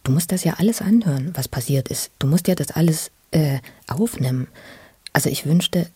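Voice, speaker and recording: A person telling personal memories in a spontaneous, confiding way, halting and often repeating words. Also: medium pitch, animated, dark tone, breathy edge, dry recording. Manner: halting, repetitive, earnest, confiding. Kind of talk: intimate spontaneous storytelling in a documentary radio play.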